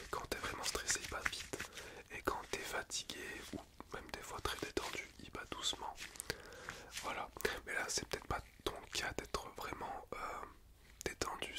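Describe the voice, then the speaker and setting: A man whispering softly as a school nurse in an ASMR roleplay, gentle and reassuring, chatting at length in a relaxed, rambling way. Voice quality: soft unvoiced whisper.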